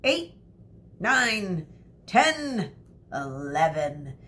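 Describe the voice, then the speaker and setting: A woman's voice: fairly low pitch, big pitch swings, drawn-out words, a bit bright, heavily acted. A woman voicing a stern, impatient schoolteacher from a children's storybook, clipped and scolding. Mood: stern counting.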